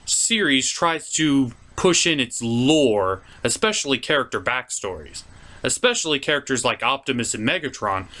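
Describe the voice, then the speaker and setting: Wildly animated man hosting a comic review. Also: medium pitch. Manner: theatrical, emphatic showman delivery with drawn-out words. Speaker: host of a puppet review show. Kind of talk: animated scripted review commentary and episode recap.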